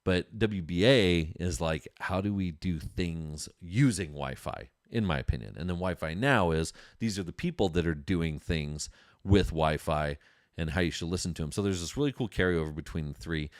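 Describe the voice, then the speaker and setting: A man's voice: sits low, normal speed, no room sound, studio quality. A man hosting a podcast, talking casually and enthusiastically about industry news to his audience, repeating phrases for emphasis. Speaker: podcast host.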